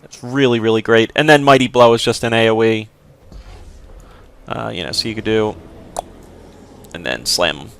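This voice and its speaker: A man's voice: fairly low pitch, normal speed, gravelly, long pauses, fairly bright tone, clear, resonant tone. A man casually commenting over his gameplay, explaining abilities as he plays with frequent restarts. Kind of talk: casual let's-play commentary.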